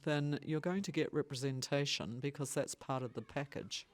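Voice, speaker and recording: A man's voice: medium pitch, normal speed. A man offering a polite, tentative counterpoint as a participant in a public forum.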